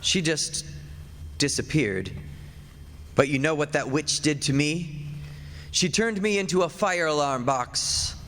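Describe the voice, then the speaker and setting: A man's voice: a little high, lively intonation, takes it slowly, long pauses, fairly bright tone. An actor playing a very old, henpecked husband of a witch, narrating a radio play in a wry, grumbling, confiding way. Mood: aggrieved, wry. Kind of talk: stage radio play, 1940s-style narration. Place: theatre stage before an audience. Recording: echoey stage hall, music behind.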